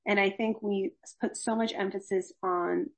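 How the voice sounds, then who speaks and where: A young woman talks through healthy aging in an unhurried, earnest way, sometimes acting out passing diet fads. Her voice carries a gravelly fry and a husky grain. She sounds like a faculty expert chatting on a podcast. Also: mid-range voice, fairly even intonation. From Los Angeles, USA. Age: young adult. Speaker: gerontology faculty guest. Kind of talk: conversational interview.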